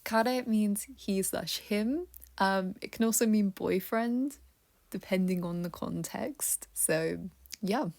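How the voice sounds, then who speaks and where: A young woman casually teaching a vocabulary lesson, chatty and laughing often, dropping to a soft near-whisper when she gives word meanings. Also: mid-range voice, takes it slowly. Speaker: language teacher.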